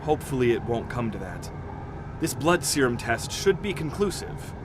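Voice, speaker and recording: An actor playing a level-headed scientist in an audio drama, explaining things in a measured, serious manner. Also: male voice, very animated, close to an everyday voice. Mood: somber, measured. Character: level-headed, grave male polar expedition scientist. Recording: clear noisy rumble behind the voice.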